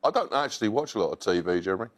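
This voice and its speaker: A man on a television quiz team answers in a measured, matter-of-fact way. His voice is fairly low. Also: very animated. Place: television studio.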